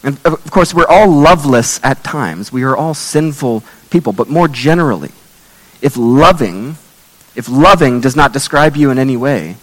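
Male pastor, hoarse, losing his voice. Earnest, measured sermon delivery with a long hall reverb. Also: medium pitch, expressive. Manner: earnest, measured preaching with scripture readings.

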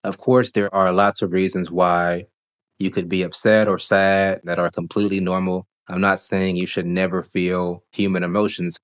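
A man hosting a solo podcast, talking calmly and reflectively while getting over a cold. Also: low-pitched. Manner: calm, reflective, thinks aloud with fillers. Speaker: podcast host.

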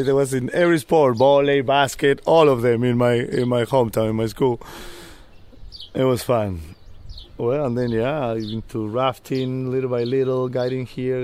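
A man from Peru with a Peruvian Spanish accent, a Spanish speaker giving loose, halting casual interview answers. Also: mid-range voice, darkish tone, slight background noise. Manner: loose, halting non-native English with laughs. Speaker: whitewater guide and interview guest. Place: rooftop outdoors in an old city. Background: faint outdoor street and bird sounds.

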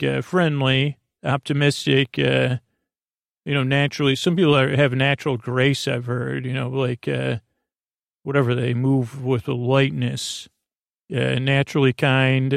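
A man hosting a podcast with a goofy, improvised bedtime monologue, halting and meandering as he repeats himself and trails off mid-word. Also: medium pitch, gravelly, dry recording, clean studio sound. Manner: goofy, silly, self-deprecating. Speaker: podcast host.

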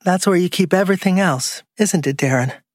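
Man voicing a bitter, menacing betrayed woman, raised pitch. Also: a little fast, no room sound, clean studio sound. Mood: pained disgust. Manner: accusing, menacing. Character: Tess, bitter betrayed woman confronting her murderous partner. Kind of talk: audiobook dialogue acting.

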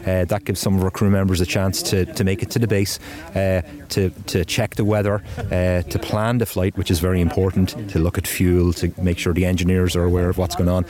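A man's voice: deep voice, level tone, fairly quick, few pauses, crowd noise. A man from a rescue helicopter crew, giving an outdoor interview and often repeating words as he starts sentences. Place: outdoor event with crowd.

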